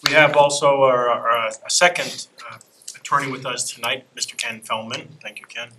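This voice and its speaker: A man chairs the meeting and opens it in a formal, procedural tone, at a middle pitch. He is heard from some distance off the mic, with a hissy chamber recording. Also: fairly even intonation, normal speed. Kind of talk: opening a council session. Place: city council chamber.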